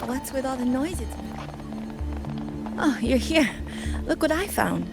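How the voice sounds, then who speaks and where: Young female game companion, curious, casual, very high voice, noticeable fry. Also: expressive, slow pace, over loud music, heavily acted. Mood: curious, eager. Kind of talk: video game character acting.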